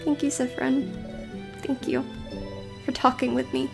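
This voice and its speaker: A gentle, considerate young woman from a video game, as a woman plays her, with a high voice. She is polite and apologetic, always putting the other person first. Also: little pitch movement, a little slow, vocal fry, over music, full character voice. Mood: grateful.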